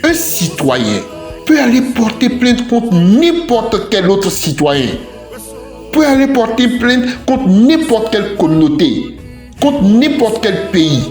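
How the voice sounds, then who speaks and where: Man, highish animated voice, emphatic scripted commentary, repetitive list-like phrasing. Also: slow. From Côte d'Ivoire. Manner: emphatic, declarative, repeats phrases in lists. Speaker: civic commentator. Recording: roomy echoing voice track with music. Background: music.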